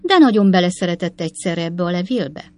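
A woman's voice: lowish voice, expressive, close to an everyday voice. Woman acting coy, teasing young storybook maid. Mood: playful. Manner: coquettish, exclaiming, mock-indignant. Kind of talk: audiobook character dialogue.

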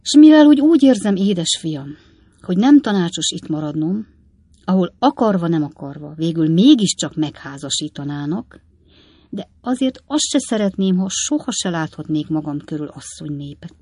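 A woman's voice: lowish voice, animated, warm tone, breathy edge, no room sound. A woman voicing an old coachman father in a storybook reading, grumbling and reasoning pompously with emphatic indignation. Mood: earnest. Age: older.

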